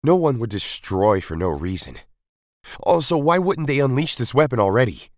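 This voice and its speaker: A man's voice: medium pitch, sing-song, moderate pace, dry recording, clean studio sound, pitched above the performer's own voice, close to an everyday voice. A man voicing a distrustful male rebel leader in an audio story, challenging and emphatic. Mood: disbelieving.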